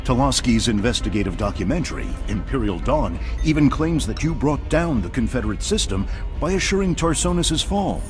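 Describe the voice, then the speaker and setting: A man's voice: mid-range voice, sing-song, a little fast, lightly acted. Sci-fi game newscaster, male actor: slick TV man, bouncy broadcast cadence, faint music behind. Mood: polished. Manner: polished, emphatic broadcast delivery. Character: slick, probing male television news anchor. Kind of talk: dramatic sci-fi game cinematic acting.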